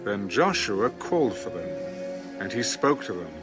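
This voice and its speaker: A man's voice: lowish voice, very animated, measured pace, over music. A man narrating a dramatized scripture reading as a scripted storyteller.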